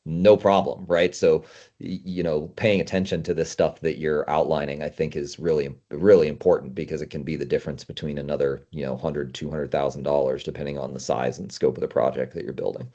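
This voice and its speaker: A man's voice: rumbling low, level tone, a little fast, warm tone. A man working as a guest consultant, giving casual, conversational advice with emphasis, on dull lo-fi audio. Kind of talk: casual advisory talk.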